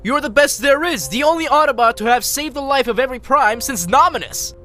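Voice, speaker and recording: A man's voice: very high voice, lively intonation, fairly quick, pushed and bright, faint music behind, full character voice. A man voicing an anxious, fussy robot official who is easily rattled, in a motion comic. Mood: panicked, pleading. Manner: fussy, nervous. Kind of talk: animated motion-comic dialogue.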